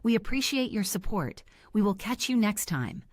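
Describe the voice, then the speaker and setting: A woman reading a scripted podcast outro in an even, polished way. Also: mid-range voice, moderate pace, no echo, clean studio sound. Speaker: outro announcer.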